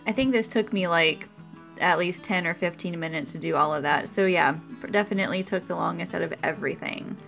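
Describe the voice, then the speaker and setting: A woman's voice: fairly low pitch, brisk, gravelly, a bit bright, faint music behind. A woman hosting a cooking video, explaining her meal prep step by step in a chatty, practical voice-over.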